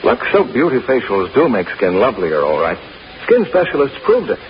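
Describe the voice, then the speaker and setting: Animated male vintage radio announcer. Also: medium pitch, moderate pace. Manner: emphatic, salesmanlike. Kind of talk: scripted 1950s radio advertising. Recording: dull, hissy old radio recording.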